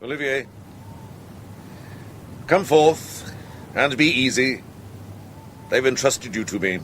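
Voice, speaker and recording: An actor playing a kindly, worldly old medieval monk in a radio drama, measured and gently probing. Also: male voice, medium pitch, lively intonation, a little hiss or hum. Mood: reassuring. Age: older.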